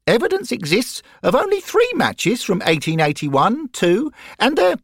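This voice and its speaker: A man voicing an eager, pedantic ghost schoolboy with a high treble voice who never stops reciting facts. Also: huge pitch swings, unhurried, breathy edge, dry recording, studio quality, heavily acted. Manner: fact-reciting, polite, keeps saying sir. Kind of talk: audiobook character voice.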